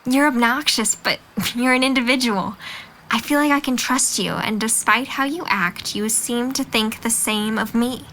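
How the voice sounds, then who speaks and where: A young woman voiced by an actress plays a sincere, supportive friend in an audio drama. Her voice is fairly high and clearly bright, with a patient, reassuring manner. Some noise sits behind the recording. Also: normal speed, poor audio. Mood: earnest. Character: kind, earnest, encouraging young female friend.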